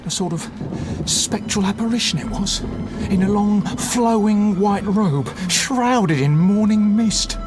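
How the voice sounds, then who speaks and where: An actor playing an earnest, spooked farm servant in a gothic audio drama, telling his tale deliberately. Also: sits high, over music. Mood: awed.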